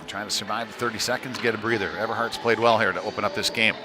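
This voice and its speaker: A man's voice: fairly low pitch, fairly even intonation, fast talker, over music. A man doing live play-by-play of a youth hockey game in a loose, folksy running style. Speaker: play-by-play commentator. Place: indoor ice rink. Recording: noisy live rink stream, background din.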